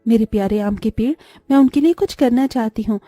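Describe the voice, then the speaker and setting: Woman playing caring village girl, elder daughter, earnest, gentle, high pitch, very soft dark tone, cartoon. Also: a little fast, no room sound, faint music behind, close to an everyday voice. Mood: wistful, hopeful. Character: poor, dutiful, caring young elder daughter. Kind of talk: Hindi moral-story cartoon voice acting.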